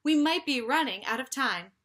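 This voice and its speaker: An earnest, cautious young storybook boy, voiced by a woman reader. He sounds bright and high, speaking emphatically and with urgency as a young explorer. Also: animated, moderate pace. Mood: earnest, concerned.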